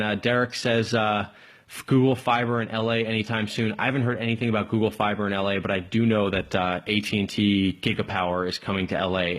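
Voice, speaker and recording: A man hosting a casual live call-in tech stream, rambling along in an informal, enthusiastic way with a slightly husky voice. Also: fairly low pitch, fairly bright tone. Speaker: live tech show host.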